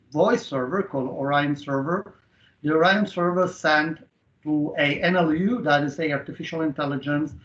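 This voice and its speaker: A middle-aged man explaining a software demo step by step with halting, non-native phrasing, over a video-call headset. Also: on the high side. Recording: dull, roomy online call audio.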